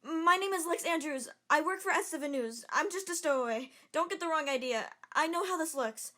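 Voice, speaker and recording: A woman's voice: sits high, lively intonation, brisk, bright, forceful tone. A woman playing a flustered young female reporter who stowed away, defensive and chatty, in an amateur audio drama.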